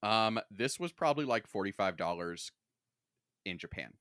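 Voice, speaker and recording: Lively, bright-voiced man bantering on a podcast. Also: fairly low pitch, moderate pace, no room sound, studio quality. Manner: wry, joking, sweary. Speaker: podcast host. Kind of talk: casual banter.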